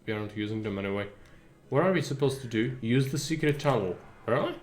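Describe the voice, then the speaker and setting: A man streaming a game live, chatting in a calm, relaxed way with his viewers. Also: mid-range voice, fast, slight background noise. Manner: relaxed, chatty, addresses chat. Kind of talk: casual live gameplay commentary.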